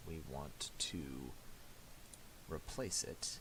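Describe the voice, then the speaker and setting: Low, slow man giving a halting tutorial. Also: expressive, whispered. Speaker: tutorial presenter. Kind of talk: casual screen-recorded software tutorial. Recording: hissy home recording with clicks.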